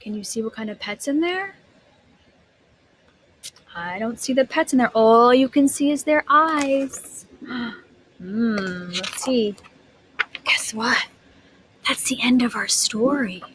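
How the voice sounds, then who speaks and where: High-pitched woman reading slowly to children. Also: slight background noise, poor audio. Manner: expressive, drawn-out words, gasps, questions to listeners. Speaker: kindergarten teacher reading aloud. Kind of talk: animated read-aloud to young children.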